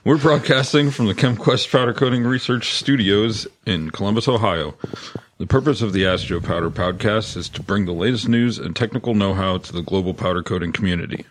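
Deep-voiced man co-hosting a podcast. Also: fairly quick, a little hiss or hum. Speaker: podcast co-host. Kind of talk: casual podcast talk and news commentary.

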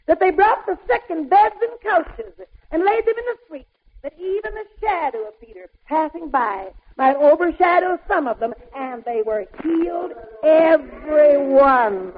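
A woman preaches a theatrical revival sermon in a declamatory, dramatic manner, drawing out words for emphasis. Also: high voice. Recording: tinny, band-limited vintage recording.

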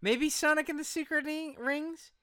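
A man's voice: very high-pitched, fairly even intonation, normal speed, pushed and bright, dry recording, clean studio sound. A man co-hosting a podcast in casual conversation, informal, slangy and opinionated. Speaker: podcast co-host.

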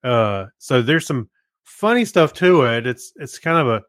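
A man's voice: mid-range voice, sing-song, a little slow, a bit bright, no room sound, clean studio sound. A man co-hosting a podcast in casual, understated conversation. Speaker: podcast co-host.

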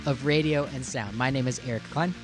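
A man hosting a podcast in a conversational interview style, with informal, halting phrasing. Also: medium pitch, faint music behind. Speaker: podcast host.

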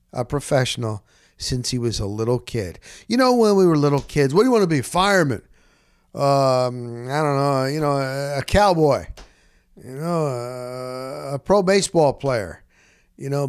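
A man's voice: medium pitch, clear, resonant tone. A man hosting a podcast, talking casually in a rambling, emphatic way and repeating phrases for stress. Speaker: podcast host. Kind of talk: casual conversational podcast introduction.

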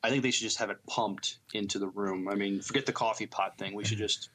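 Low-voiced American man, halting casual co-host. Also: fairly even intonation, fairly bright tone, a little hiss or hum. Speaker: podcast co-host. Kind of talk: casual chat.

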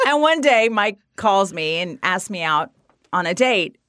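A woman in her forties, a guest telling stories with lively, acted-out quotes. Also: a little slow, no echo, studio quality. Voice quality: low raspy voice in the mornings. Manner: animated storyteller, acts out quotes with big pitch swings. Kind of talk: casual interview chat.

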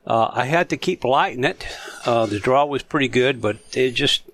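A mild-mannered man chatting casually, halting with frequent fillers. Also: medium pitch, fairly bright tone. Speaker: podcast panelist. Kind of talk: casual chat.

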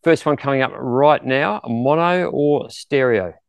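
A man hosting a casual podcast interview in a courteous, slightly halting manner. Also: medium pitch, vocal fry, clean tone, no echo. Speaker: interview host.

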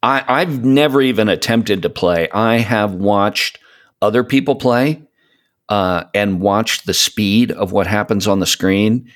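A man in his late fifties with a fairly low voice talks casually on a podcast. He is reflective and conversational, often halting as he gathers his thought. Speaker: podcast co-host. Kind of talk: casual chat about gaming.

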